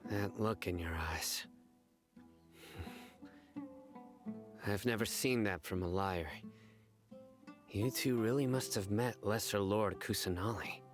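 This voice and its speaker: Man playing a stern, low-voiced investigator. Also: natural voice. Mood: reflective. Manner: serious, formal, deliberate. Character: stern, measured male investigator, young adult, gravely serious. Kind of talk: video game voice acting. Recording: plucked-string music behind the voice.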